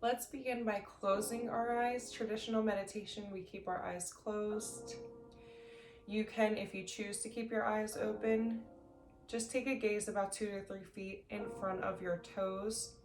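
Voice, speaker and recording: Woman doing a sleep meditation, gentle n slow w long pauses, echoey room, bit of soft music under it. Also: medium pitch, steady tone. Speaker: meditation instructor. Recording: roomy echo, faint music behind.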